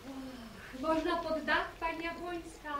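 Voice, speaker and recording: An actress playing a plain-spoken, unafraid young village woman in an old radio drama, telling her tale in a brisk, indignant way. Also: high-pitched, fairly bright tone, echoey room, a little hiss or hum. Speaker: young woman caught in a storm. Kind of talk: 1970s radio drama acting.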